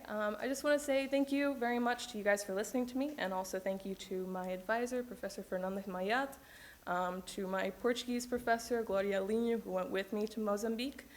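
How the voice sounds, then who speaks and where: Gravelly young woman presenting research. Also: medium pitch. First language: English. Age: young adult. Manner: hesitant, many ums. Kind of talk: academic presentation.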